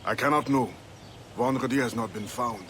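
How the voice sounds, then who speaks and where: Casting: male actor as solemn seafaring assassin, mid-pitched, forceful, dignified, for game cinematics. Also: a little hiss or hum, lightly acted. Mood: grave, mournful. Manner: solemn, measured. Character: grave, dignified older seafaring assassin captain. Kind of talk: game cutscene voice acting.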